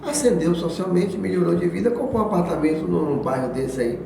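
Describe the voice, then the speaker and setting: A man's voice: sits high, lively intonation, brisk, gravelly. A man with a Brazilian accent co-hosting a podcast, chatting casually and telling anecdotes. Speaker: podcast co-host. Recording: very echoey room, background noise.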